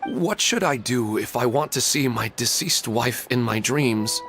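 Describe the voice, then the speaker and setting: An actor playing a sincere, sombre grieving widower in a video game. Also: male voice, mid-range voice, animated, unhurried, fairly bright tone, faint music behind. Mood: sorrowful. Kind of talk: video game character acting.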